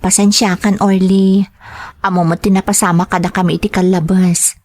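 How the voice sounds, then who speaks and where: A woman's voice: medium pitch, little pitch movement, moderate pace, a little mellow, no echo, lightly acted. A woman acts out a dutiful young Filipino woman in an audio drama. She speaks earnestly in a mid-range voice, as someone devoted to her family. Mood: apologetic, earnest.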